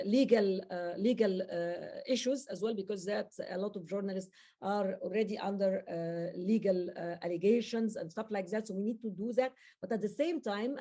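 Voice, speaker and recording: A woman's voice: medium pitch, dark tone. A woman from Egypt with an Egyptian accent, explaining as a trainer and repeating words for emphasis. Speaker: journalist and safety trainer. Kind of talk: webinar talk, explanatory.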